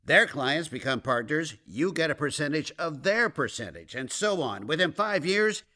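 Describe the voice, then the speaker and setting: A slick company man making his pitch in a radio comedy. His voice is bright and forceful, swinging animatedly as he piles on officious, long-winded sales talk. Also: expressive, moderate pace. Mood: salesy, persuasive. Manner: salesy, officious, hesitant filler-laden pitch. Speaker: company representative. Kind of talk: comedic radio drama acting.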